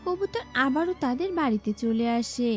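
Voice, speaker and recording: A woman's voice: high voice, animated, normal speed, faint music behind. A woman narrator reading a scripted children's cartoon story.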